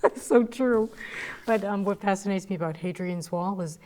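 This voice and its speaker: Chatty woman host with a mellow voice. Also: mid-range voice, normal speed, a little hiss or hum. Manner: chatty, eager, telling anecdotes and asking questions. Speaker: radio show host. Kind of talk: casual interview chat.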